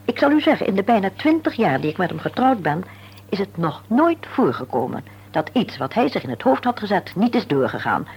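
An actress playing a composed, tactful middle-aged wife in a radio play, speaking formally and deliberately with polite insistence. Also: female voice, mid-range voice, sing-song, slightly rough, heavily acted. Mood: earnest, tactful. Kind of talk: Dutch radio play acting. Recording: dull, hissy old radio recording with hum.

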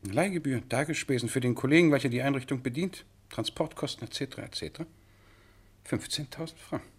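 A man acting in a German radio play as a formal, somewhat exasperated official chairing a cabinet meeting in measured phrases. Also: mid-range voice, husky, close to an everyday voice.

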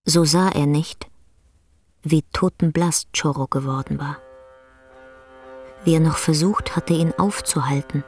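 Slow-paced woman narrator over guitar music. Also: low voice, steady tone, husky, no room sound. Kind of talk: scripted literary narration for radio drama. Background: plucked guitar music.

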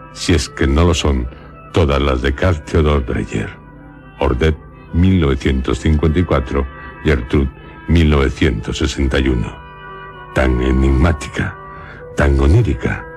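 A male radio presenter speaks. He reads scripted commentary with measured, literary phrasing, over faint music. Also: a bass voice, a little mellow. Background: music behind the voice.

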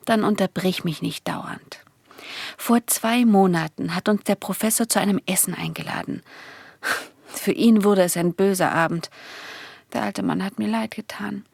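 Female radio-drama spy: hardened, condescending, insistent, creaky voice, close dry sound. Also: medium pitch, normal speed, a little mellow, dry recording. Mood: cool, hardened. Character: hardened female communist agent confiding in a naive young lover. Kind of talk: German radio play acting.

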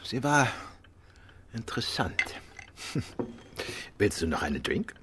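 An actor playing a courteous, composed gentleman detective and affectionate husband in a German radio play, with a polite, reassuring manner. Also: mid-range voice, very animated, takes it slowly, noisy background.

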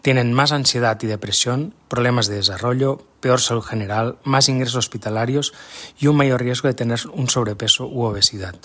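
Male reader, Spain accent, husky mid-range voice, measured and formal with scripted public-information copy. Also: mid-range voice, fairly bright tone. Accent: Spanish, from Spain. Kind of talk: scripted statement read aloud.

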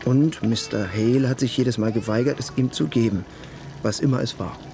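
An actor playing a matter-of-fact police detective questioning people methodically in a radio crime drama. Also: male voice, medium pitch, normal speed, gravelly, dark tone, faint music behind, lightly acted. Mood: businesslike, probing.

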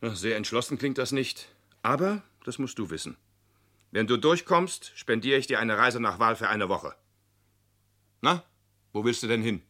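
A man's voice: mid-range voice, expressive, moderate pace, long pauses, pushed and bright. An actor in a radio play playing a stern but fair father who speaks plainly and admonishingly. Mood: stern, earnest. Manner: emphatic, admonishing, plain-spoken. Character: stern but fair father lecturing his teenage son. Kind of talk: German radio play acting.

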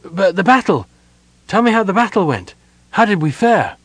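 Young male elf prince, voiced by a male narrator: anguished and imperious, raised pitch, big swings, dry studio sound. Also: sing-song, normal speed, dry recording. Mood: impatient. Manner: impassioned, urgent, commanding.

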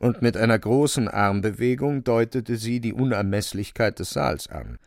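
A man narrating a literary radio drama in a creaky voice, deliberately, phrase by phrase. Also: on the low side, animated, darkish tone, no room sound, clean studio sound. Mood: measured, wry. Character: measured literary narrator of a dark fantastic tale.

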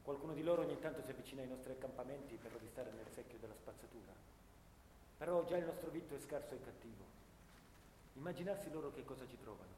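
A man playing a haunted, bitter young First World War soldier in a theatrical radio monologue, telling his story dramatically, between hushed and intense delivery. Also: mid-range voice, animated, fast talker, lightly acted. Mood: weary, pitying. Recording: roomy, long reverb, clear background noise.

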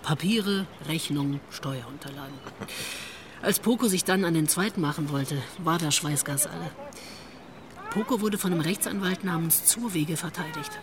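A woman's voice: low-pitched, normal speed, crowd noise, lightly acted. An actress playing a wry, worldly police investigator in a radio drama, telling what she knows in a knowing manner. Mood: matter-of-fact, wry. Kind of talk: naturalistic radio drama acting.

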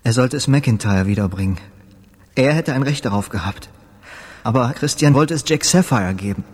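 An actor in a German radio drama playing a guilt-ridden, devout and unhinged musician who speaks in a halting, repetitive murmur. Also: male voice, mid-range voice, animated, a little mellow, slightly rough. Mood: confessing, dazed. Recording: roomy space with long reverb.

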